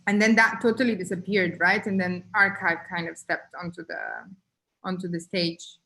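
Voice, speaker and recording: A woman in a casual online conversation, thinking aloud in halting phrases full of fillers. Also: fairly low pitch, level tone, sharp tone, slight background noise, poor audio. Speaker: guest artist.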